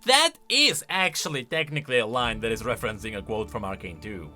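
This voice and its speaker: A man giving casual, conversational reaction commentary as a video host, with an animated, questioning manner. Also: mid-range voice, a little fast, soft background music. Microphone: close studio-style microphone.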